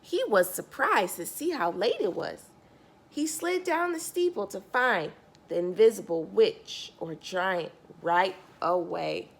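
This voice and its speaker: A woman's voice: mid-range voice, animated, slow pace, creaky, a little hiss or hum. A woman reading a picture book aloud to young children, expressively, stressing key words and speaking directly to her listeners.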